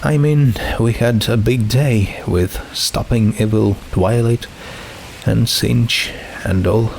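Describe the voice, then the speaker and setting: A man's voice: lowish voice, slow, gravelly, a little mellow, slight background noise. A man voicing a sad teenage girl in a storybook reading, hesitant and forcing a casual tone. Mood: forced cheer. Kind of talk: storybook character voice in fan fiction reading.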